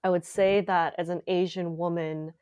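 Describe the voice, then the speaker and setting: A woman's voice: lowish voice, little pitch movement, normal speed, darkish tone, clean tone. A young woman from Canada, a playwright, talking casually in an interview with many filler words. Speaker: playwright guest. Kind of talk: conversational interview.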